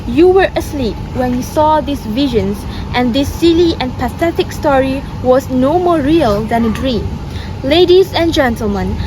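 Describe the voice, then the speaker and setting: A woman's voice: sits high, background noise. A young woman in an amateur dramatic reading, playing stage characters who address the company in modernised Shakespeare. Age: young adult.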